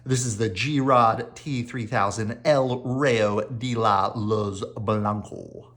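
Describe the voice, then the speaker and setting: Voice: mid-range voice, slow, fairly bright tone. A storyteller reading a children's picture book aloud in a dramatic, playful manner. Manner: dramatic, with playful sound words. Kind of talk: animated read-aloud storytelling.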